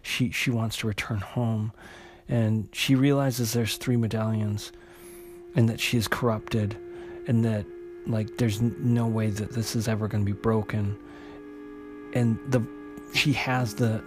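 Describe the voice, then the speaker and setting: A man narrating an unscripted recap of a story as a host, in a halting way, often repeating and restarting his phrases. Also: fairly low pitch, little pitch movement, over music. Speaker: host retelling a comic book story.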